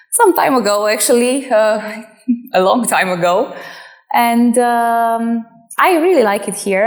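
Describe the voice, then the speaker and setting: A woman from Romania giving a candid documentary interview, laughing often. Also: mid-range voice. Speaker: entrepreneur interviewee.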